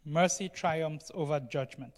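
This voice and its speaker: A man's voice: fairly high pitch, measured pace. A man preaching a sermon in a conversational, explanatory way, often checking in with his listeners. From Cameroon. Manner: explanatory, frequently checks in with "right?" and "you know". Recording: long hall reverb.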